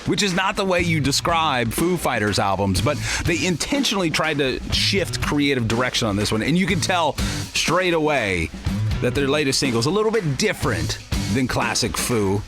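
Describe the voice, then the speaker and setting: A man's voice: medium pitch, sing-song, normal speed. An American man working as a radio DJ, talking in a casual, chatty, teasing way between songs. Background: music beds behind the voice.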